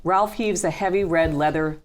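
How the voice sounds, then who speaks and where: Very low-pitched woman narrating a script. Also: normal speed. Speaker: narrator of stage directions.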